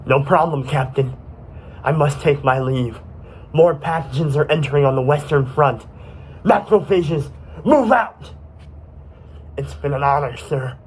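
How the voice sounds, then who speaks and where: A man's voice: medium pitch, steady tone, moderate pace, a little hiss or hum, lightly acted. A man voicing a gallant military cell commander, barking clipped orders in an educational comedy skit. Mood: gallant, solemn.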